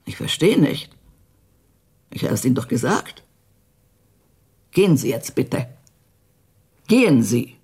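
Husky, mellow-toned bitter older widow. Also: female voice, a bass voice, very animated, slow, long pauses, exaggerated voice. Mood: defensive. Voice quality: husky, muted. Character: bitter, grieving elderly widow of a coerced witness. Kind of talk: German radio play acting.

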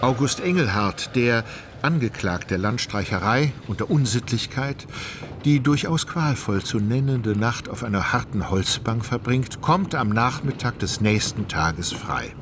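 A man reading scripted literary narration for a radio play in a measured, articulate storytelling manner. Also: medium pitch, animated, noisy background. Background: music.

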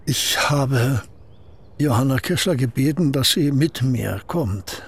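Older painter-poet, husky, dry and curt, reserved, radio play. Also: male voice, mid-range voice, expressive, takes it slowly, heavily acted. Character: aging male painter-poet, wry and gruff.

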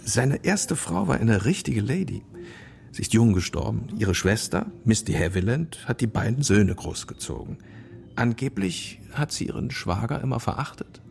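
A shrewd, older police commissioner in a radio crime drama. His lowish voice is gravelly and moves with lively intonation. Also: normal speed. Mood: dry briefing. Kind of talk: radio play dialogue.

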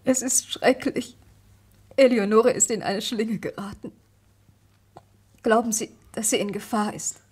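A woman's voice: fairly high pitch, steady tone, measured pace, pauses often, a little mellow, slightly rough. An actress playing a distressed, anxious young woman who speaks earnestly and fragilely in a vintage German radio crime drama. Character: distressed, anxious young niece defending her cousin.

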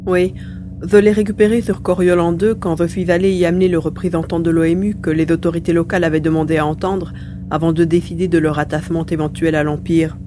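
A woman's voice: fairly low pitch, flat delivery, quick pace, lightly acted. A woman playing a small, cheerful male mouse-beaver mutant who chatters wordily in an audio serial. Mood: chatty, amused. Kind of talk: acted dialogue in an amateur audio serial. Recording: faint background hum and noise.

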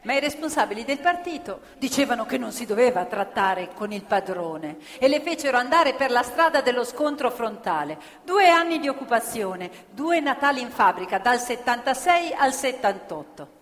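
A woman's voice: on the high side, animated, natural voice. Actress playing a proud, grieving factory woman, echoing hall. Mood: bitter, explanatory. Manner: emphatic repetitions, deliberate phrasing. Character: former female factory worker, proud, grieving union militant. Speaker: stage actress in radio theatre. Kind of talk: dramatic theatrical monologue. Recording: reverberant theatre hall, music playing along. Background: live violin music.

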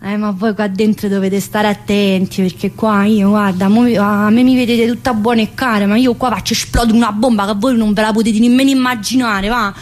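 A woman's voice: a little high, lightly acted. An actress playing a talkative, earthy woman inmate in a theatrical monologue, rambling colloquially with a central-southern Italian accent. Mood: boastful, threatening. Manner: colloquial, rambling, full of interjections.

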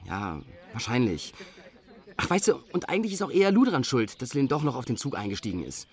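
An actor playing a worried, irritable young backpacker in a radio drama, speaking colloquially. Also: male voice, mid-range voice, big pitch swings, brisk, close to an everyday voice. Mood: defensive, brooding. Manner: agitated, colloquial.

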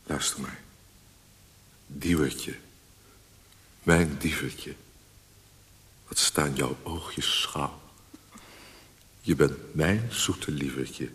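An actor playing a tender young painter-poet courting his sweetheart in a radio drama, speaking softly and coaxingly. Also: very deep voice, very slow, pauses often, exaggerated voice. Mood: loving, coaxing. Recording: hissy, noisy old radio recording.

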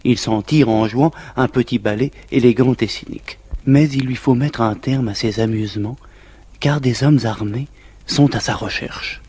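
A man giving a measured, scripted narration that links the scenes of a stage play. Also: mid-range voice, darkish tone, slightly rough.

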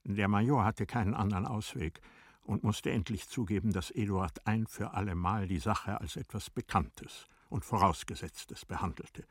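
A man narrating a literary radio drama in a deliberate, formal storytelling manner. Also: on the low side, slightly rough, no echo, clean studio sound.

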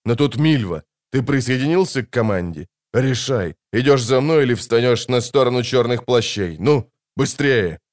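A man's voice: mid-range voice, lively intonation, normal speed, few pauses, darkish tone, lightly acted. A man voicing a grim, impatient monster hunter in an audiobook, muttering through clenched teeth. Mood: grim, terse.

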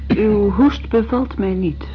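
A woman's voice: mid-range voice, slow, lightly acted. A woman playing a watchful, sceptical character in a radio drama, speaking in a probing, direct way. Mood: concerned, sceptical. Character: watchful, sceptical woman confronting a sick doctor. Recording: dull radio recording, faint music behind.

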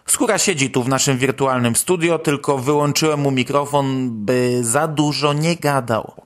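A man's voice: medium pitch, normal speed. A Polish man hosting a podcast, commenting on news in a conversational, wry way. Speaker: podcast host.

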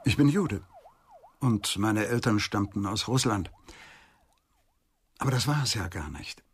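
Weary middle-aged lawyer, husky, thoughtful and halting, for a radio crime play. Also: male voice, fairly low pitch, lively intonation. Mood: wistful, reflective. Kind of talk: German radio crime drama acting.

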